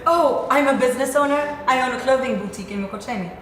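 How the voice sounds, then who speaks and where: A woman's voice: sits high, quick pace, close to an everyday voice. A woman acting in a stage play as a polite, evasive girlfriend of about thirty. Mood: politely evasive. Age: 30s. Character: polite, evasive thirty-year-old girlfriend of wealthy man. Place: theatre stage. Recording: echoey theatre hall, noisy.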